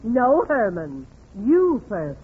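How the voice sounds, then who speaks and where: A woman's voice: fairly high pitch, huge pitch swings, takes it slowly, phone-quality sound, full character voice. A woman playing a bossy, domineering wife in 1940s radio comedy, curt and commanding. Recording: very noisy, band-limited 1940s radio, audience behind.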